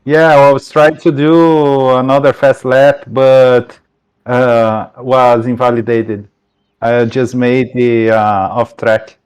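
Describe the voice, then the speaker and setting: A young Brazilian man with a Brazilian Portuguese accent, racing winner giving a post-race interview over a headset, searching for words in halting English. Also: mid-range voice, flat delivery, a little mellow, clean tone, low-quality recording. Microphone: headset over voice chat.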